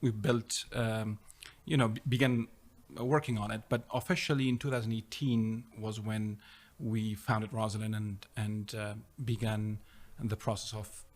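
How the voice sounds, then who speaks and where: Middle-aged man from Afghanistan, low voice, interview guest, halting delivery with lots of ums. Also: little pitch movement. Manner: hesitant, many fillers and restarts. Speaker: startup co-founder and CEO, guest. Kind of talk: conversational interview.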